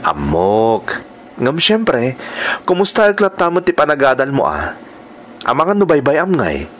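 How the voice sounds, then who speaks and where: A man's voice: mid-range voice, wildly swooping pitch, a little slow, fairly bright tone, slight background noise. An actor with a Filipino accent playing a kindly, fatherly uncle in a radio drama. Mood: gently advising. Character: kindly, fatherly uncle giving gentle advice.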